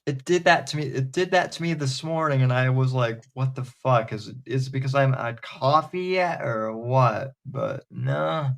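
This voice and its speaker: A man's voice: mid-range voice, moderate pace, creaky, few pauses. A man hosting a casual podcast, rambling with hesitant restarts and crude humor. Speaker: podcast host. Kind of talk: casual chat.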